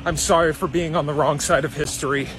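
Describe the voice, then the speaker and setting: A man's voice: a little high, fairly even intonation, brisk, a bit bright, breathy edge. A man giving a satirical comedy monologue as a mock-sincere confession.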